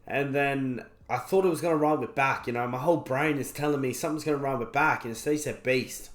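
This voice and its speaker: A young man with a croaky voice, recovering from being sick, casually reviewing an album and rambling with lots of fillers. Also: medium pitch, fast talker. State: croaky voice after illness. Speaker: music reviewer. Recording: roomy echo.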